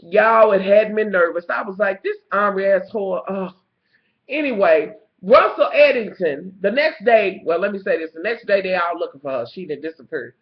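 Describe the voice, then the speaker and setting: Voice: medium pitch, lively intonation, normal speed, reverberant. Stuffed-up, profane recapper. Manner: profane, gossipy storytelling that calls the viewer honey. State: stuffed-up nose. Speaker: TV show reviewer. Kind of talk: casual episode recap vlog. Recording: slightly muffled lo-fi recording.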